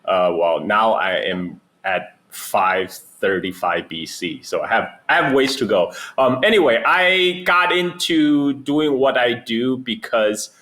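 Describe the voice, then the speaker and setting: A man's voice: medium pitch, expressive, slow, few pauses, fairly bright tone. A man in his forties from China, a podcast guest chatting over a video call, rambling with many restarts. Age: 40s. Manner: rambling, lots of restarts and fillers. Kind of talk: casual chat.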